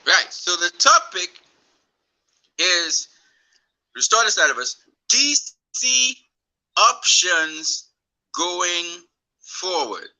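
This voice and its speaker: A man's voice: sits high, sing-song, extremely slow, long pauses, pushed and bright, poor audio. A man from Trinidad and Tobago hosting a casual livestream chat on his phone, rambling and repeating words as he goes. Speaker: livestream host.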